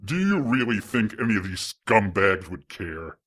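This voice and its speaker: An actor playing a threatening, taunting demon prisoner with a husky voice in an audio drama. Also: male voice, lowish voice, big pitch swings, normal speed, fairly bright tone. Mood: gloating menace. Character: menacing demon prisoner hiding under a cloaking spell.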